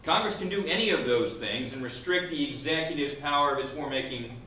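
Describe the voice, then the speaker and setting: A man's voice: a little high, a little fast, pushed and bright. A man who is a law professor arguing a legal point in a debate, explaining step by step and posing questions that he answers himself. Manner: argumentative, explanatory, poses and answers own questions. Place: indoor room at a law school event. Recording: roomy echo with faint background noise.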